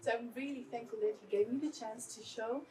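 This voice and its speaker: A woman from Germany, an actress and former boxer, talking on a festival panel in an upbeat, halting way with restarts. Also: a little high, fairly even intonation. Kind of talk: casual panel talk. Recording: distant mic with audience noise.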